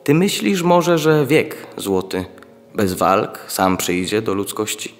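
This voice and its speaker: Young guy doing solemn poetry reading, really slow, big reverb, radio play. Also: lowish voice, sing-song, full character voice. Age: young adult. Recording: long reverb, music behind.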